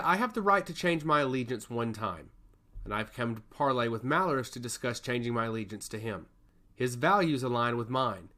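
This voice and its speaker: A man voicing a quick-witted, firm woman in a fantasy story, wry and persuasive. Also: medium pitch, bright, forceful tone. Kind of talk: fantasy audio story dialogue.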